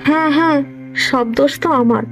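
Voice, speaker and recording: A woman's voice: high voice, brisk, vocal fry, soft background music, low-quality recording. A woman voicing a spiteful, complaining middle-aged mother-in-law in a fairy-tale cartoon, sarcastic and self-pitying. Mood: bitter, sarcastic.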